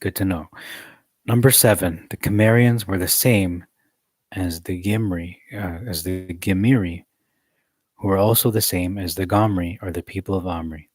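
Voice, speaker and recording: A man reading a study text aloud in a measured way, adding occasional joking asides. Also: sits low, steady tone, dry recording.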